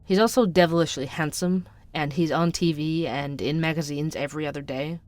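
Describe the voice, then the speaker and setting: An actor playing a sardonic, suspicious young night-shift barista who narrates a podcast in an audio drama, wry, conspiratorial and conversational. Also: male voice, fairly high pitch, steady tone, brisk, dry recording, natural voice. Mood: wry, scornful.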